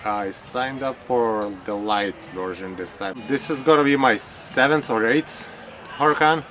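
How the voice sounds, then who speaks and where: A man from Lithuania, a bikepacking racer giving short interview answers in a halting way with small restarts. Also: medium pitch, a bit bright, a little hiss or hum.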